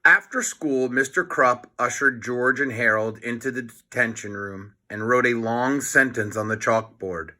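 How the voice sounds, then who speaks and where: A man narrates a children's storybook at a slow, deliberate pace. His voice is bright and mid-pitched, expressive, and he adds plain explanatory asides as he reads. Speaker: storybook reader.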